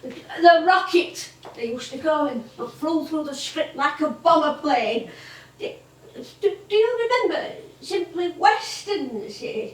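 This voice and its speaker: An actress on stage playing a chatty, warm-hearted old music hall comedienne with an effusive, wry manner. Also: female voice, sits high, animated, slow. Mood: wry, nostalgic. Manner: effusive, wry, given to endearments. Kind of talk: theatrical stage acting. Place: theatre stage. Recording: echoey theatre stage from far off.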